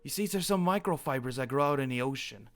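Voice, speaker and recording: A man chats his way through a role-played headmaster, middle-pitched and talkative, explaining things at length. Faint music plays under his voice. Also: lively intonation, fast, a bit bright. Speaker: roleplayer playing a school headmaster. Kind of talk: improvised character roleplay.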